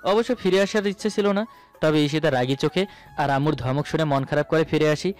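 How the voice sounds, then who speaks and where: A man's voice: fairly high pitch, fairly quick, a little mellow. A man narrating a scripted romantic story in the first person. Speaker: narrator of romantic audio stories. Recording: voice over background music.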